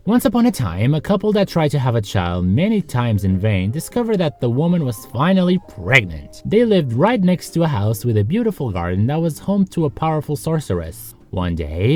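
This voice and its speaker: A man with a lively, swooping delivery narrates in a sarcastic, joking style. He slips often into casual asides to the viewer, as a host would. Soft music plays under his voice. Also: mid-range voice. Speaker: host of a video series.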